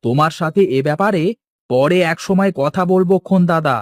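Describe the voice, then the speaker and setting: A man's voice: fairly high pitch, moderate pace, no room sound, close to an everyday voice. A man playing a busy, polite village fish seller in a cartoon, with a folksy way of talking. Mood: businesslike. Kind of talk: Bengali cartoon dialogue acting.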